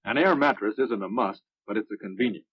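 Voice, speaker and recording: An American man reading scripted narration for a military instructional film in a measured, instructive manner. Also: on the low side, husky. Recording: muffled, dry vintage film soundtrack.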